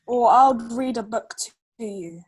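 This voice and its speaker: A woman's voice: a little high, slow pace, breathy edge. A woman chatting casually with a child as a reading helper and reading a children's storybook aloud. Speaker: adult reading tutor helping a child.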